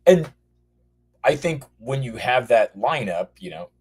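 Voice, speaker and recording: A hoarse man calling in to a show, chatting casually and rambling with lots of restarts and fillers. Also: mid-range voice, sing-song, a little slow, darkish tone. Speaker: caller. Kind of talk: casual call-in chat.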